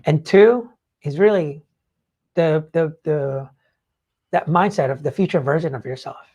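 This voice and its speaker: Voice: mid-range voice, measured pace, darkish tone, slightly rough. A podcast host talking casually and emphatically in a rambling way, swearing freely while explaining ideas. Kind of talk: casual talk explaining book takeaways.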